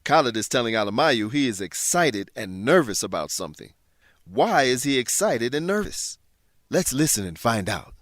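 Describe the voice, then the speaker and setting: A man hosts and narrates a scripted radio drama for learners. His delivery is clear and instructive, with widely varying intonation. The welcoming voice sounds close and dry. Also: mid-range voice, very animated, moderate pace, fairly bright tone, dry recording. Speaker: narrator, host.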